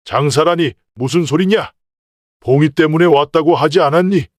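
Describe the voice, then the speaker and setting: A man's voice: a little high, normal speed, no echo, studio quality, natural voice. A man playing a shrewd, proud merchant father in an old folktale, with old-fashioned, authoritative speech. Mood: stern, suspicious. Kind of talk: acted folktale dialogue.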